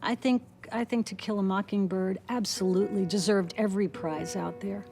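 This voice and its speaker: A woman with a medium-pitched voice comments on literature for a documentary. She is emphatic and admiring, with clear guitar music behind her. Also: fairly even intonation, normal speed, mellow. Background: musical score with guitar.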